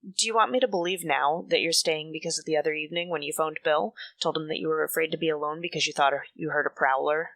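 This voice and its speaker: A woman's voice: low-pitched, quick pace, gravelly, hardly any pauses, fairly bright tone, no echo. A woman playing a bitter, defensive mature woman in a radio soap, speaking in a guarded, clipped way. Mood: defensive, weary. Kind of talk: radio soap opera acting.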